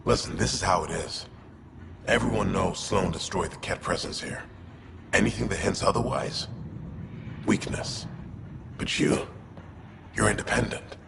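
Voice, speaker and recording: A man playing a gruff, pragmatic outlaw lieutenant in a video game, gravelly and terse. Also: deep voice, unhurried, pauses often, full character voice. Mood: terse, persuading. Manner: terse, clipped, matter-of-fact. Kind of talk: video game cutscene acting. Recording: long reverb, clear background noise.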